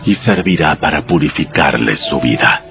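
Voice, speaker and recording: An actor playing God as a solemn, commanding deity giving sacred laws in a dramatized audio Bible, in a measured manner. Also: male voice, extremely low pitch, lively intonation, highs cut off. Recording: voice over clear background music.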